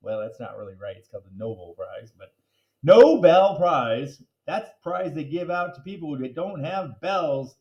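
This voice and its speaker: Animated man reading a kids' book. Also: a little high, normal speed, lo-fi sound. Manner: animated, jokey asides. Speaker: reader. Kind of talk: reading a children's book aloud.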